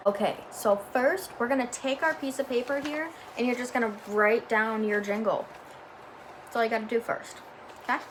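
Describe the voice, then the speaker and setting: A young woman reading a book aloud to students in a calm, encouraging voice, then talking through a class activity in a quick, chatty way. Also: on the high side, a little hiss or hum, poor audio. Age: young adult. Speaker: student reader.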